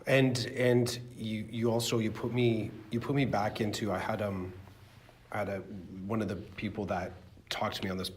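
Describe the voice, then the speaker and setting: A male podcast host telling a story casually, animated, with many restarts. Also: on the low side, moderate pace, slight background noise. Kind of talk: casual conversational podcast talk.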